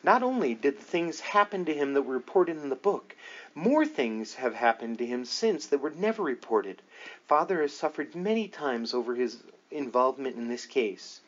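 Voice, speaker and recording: A man voicing a composed, earnest woman psychic investigator in an audiobook, measured, matter-of-fact and assured. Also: medium pitch, big pitch swings, muffled recording, pitched below the performer's own voice. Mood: firm, assured. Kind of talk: audiobook dialogue reading.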